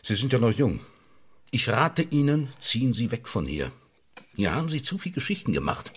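Threatening newspaper boss, radio drama, condescending, domineering, very animated intonation, slightly rough husky voice. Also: male voice, medium pitch, normal speed. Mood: cold, condescending. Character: hard-nosed, threatening male newspaper publisher dismissing an employee. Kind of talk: German radio drama acting.

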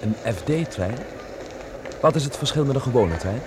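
Actor as dreamy young man over loud rumbling. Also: lively intonation, moderate pace, lightly acted. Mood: hesitant. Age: young adult. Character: eager 21-year-old aspiring film actor leaving home for Berlin. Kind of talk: radio drama acting. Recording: radio play with loud vehicle noise.

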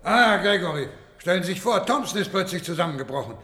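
A brusque, agitated male superior in a radio drama. His voice is high for a man and sharply bright. Long reverb surrounds him, like an empty corridor. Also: expressive, fast. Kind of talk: German radio play dialogue. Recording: echoey reverberant corridor sound.

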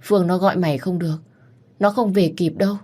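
A woman's voice: fairly low pitch, steady tone, quick pace, a little mellow, voice pushed up, natural voice. A woman voicing a distressed young female friend, apologetic and urgent, in a narrated story. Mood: anxious apology.

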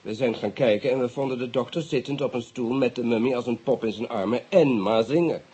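A man acting a courteous, guarded British police investigator in a radio play, speaking in a measured, matter-of-fact way with polite English phrases. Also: medium pitch, mellow, slightly rough. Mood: measured, informing. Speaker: police officer. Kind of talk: radio drama dialogue.

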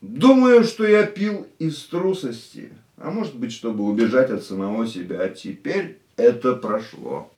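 An actor playing an ardent, reflective racing driver in a radio drama, speaking in an intimate, searching, romantic manner. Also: male voice, medium pitch, lively intonation, a little slow, a little mellow, breathy edge. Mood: animated, reflective. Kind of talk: radio play acting.

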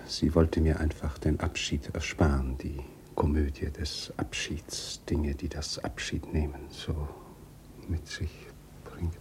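An actor playing a weary, bitter, resigned husband in a period radio drama, halting and subdued, sometimes half-whispering. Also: male voice, extremely low pitch, level tone.

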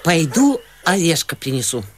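A homely, chatty country housewife in a radio drama. Her speech is simple and gossipy and jumps around in pitch, with clear noise and clicks behind her. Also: big pitch swings, normal speed. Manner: folksy, gossipy. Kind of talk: Russian radio play acting.

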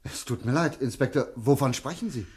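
An actor playing an earnest, thoughtful scientist in a German radio play, speaking in a deliberate way. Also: male voice, medium pitch, a little mellow, slightly rough, close to an everyday voice. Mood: concerned, curious. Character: earnest male scientist doctor, resolute, protective, thoughtful. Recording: radio play with background noise.

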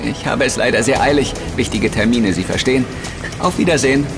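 An actor in a German audio drama playing a courteous, brisk surveillance agent with a formal, polite manner. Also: male voice, mid-range voice, lightly acted. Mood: apologetic, brisk. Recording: music behind the voice.

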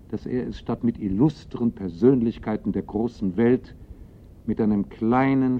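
Male storyteller, radio play. Lowish pitch, scripted dramatic delivery, slightly dull sound. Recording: slightly muffled radio recording.

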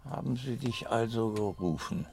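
An actor playing a weary, bitter, bedridden old father with a breathy, creaky voice in a radio drama. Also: mid-range voice, moderate pace, darkish tone, natural voice. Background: music.

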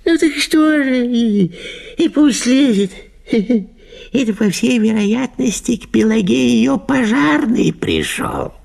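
A man's voice: extremely high pitch, very animated, slow, gravelly, darkish tone, pitched above the performer's own voice, exaggerated voice. A man playing a sleepy, grumpy, cynical husband who mumbles and drawls in an audio play. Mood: dismissive.

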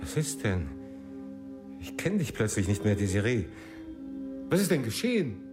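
Radio drama: effusive middle-aged husband in love, lyrical, lowish voice, sweeping intonation. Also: male voice, sing-song, normal speed, music in the background. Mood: bewildered, pleading. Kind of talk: radio play acting.

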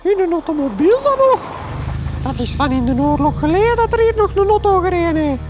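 A woman's voice: extremely high pitch, big pitch swings, brisk, over loud music. A woman playing a nostalgic old village gossip in a comedy radio drama, wistful and chatty. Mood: astonished, nostalgic.

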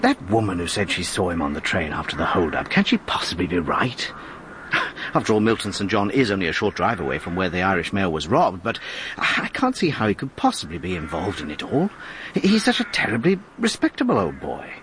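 An actor playing a brisk junior police detective in a radio drama, pressing and plain-spoken, deferential to his superior. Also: low voice, big pitch swings, fairly bright tone. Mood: puzzled. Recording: faintly noisy archive radio drama.

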